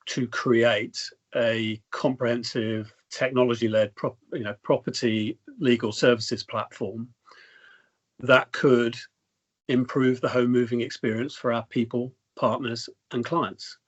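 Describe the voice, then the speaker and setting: Middle-aged executive man, measured, muffled. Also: medium pitch. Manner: measured corporate phrasing with many fillers. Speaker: company chief executive guest. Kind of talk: interview answers. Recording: dull lo-fi sound.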